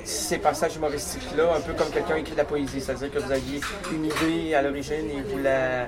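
A man interviewing a guest, halting and full of fillers and restarts, often laughing. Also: on the high side, fairly even intonation. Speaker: radio interviewer. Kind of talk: casual interview. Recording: interview with very loud crowd behind.

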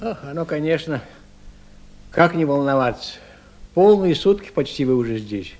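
An actor playing a good-natured, sympathetic night-shift attendant with a homely, rambling way of talking in a 1970s Soviet radio play. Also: male voice, a little high, animated, moderate pace, a little mellow, natural voice.

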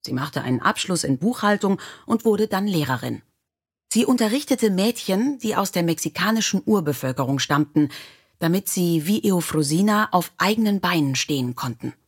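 Slightly husky, low-voiced woman narrator. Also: animated, normal speed. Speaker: audiobook narrator. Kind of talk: scripted storybook narration.

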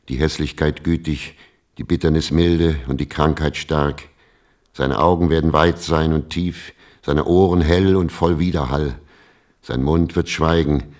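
A solemn old rabbi in a radio play. The voice is extremely low. He speaks gravely and with measure, like one delivering prophecy. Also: a little mellow. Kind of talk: German radio play acting.

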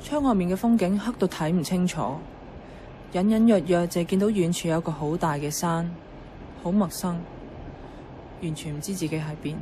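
A woman's voice: lowish voice, fairly even intonation, normal speed, mellow, a little hiss or hum, natural voice. An actress playing an introverted, lonely young woman narrating her thoughts in a Cantonese radio drama, reflective and subdued, with a Hong Kong accent. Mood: pensive. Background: background music.

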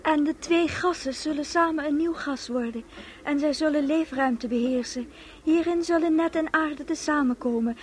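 A woman's voice: high voice, darkish tone, a little hiss or hum, natural voice. An actress playing a young woman in an absurdist radio play. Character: young woman, defiant, then solemnly reciting doctrine.

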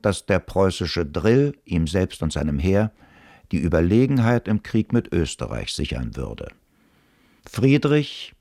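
Deep-voiced male narrator, dry studio sound. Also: a little mellow, no room sound. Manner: measured, matter-of-fact. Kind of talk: scripted radio play narration. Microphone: dry studio close mic.

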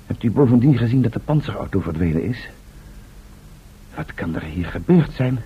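An actor in a radio drama playing a worried, earnest man searching for lost friends, with a husky voice. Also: on the low side, very animated, normal speed, exaggerated voice. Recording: muffled, phone-like old radio recording.

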